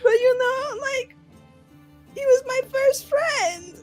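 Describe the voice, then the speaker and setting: A woman's voice: very high-pitched, lively intonation, fairly quick, faint music behind, poor audio. A woman voicing a naive, betrayed young woman mage in tabletop roleplay, rambling tearfully and whining with indignation. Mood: hurt. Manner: whiny, rambling, sobbing delivery.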